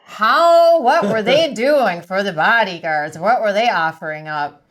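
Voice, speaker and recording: Woman interviewer, medium pitch, informal, blunt and insistent, foul-mouthed podcast banter. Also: expressive, measured pace. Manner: blunt, pressing, profane. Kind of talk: casual chat.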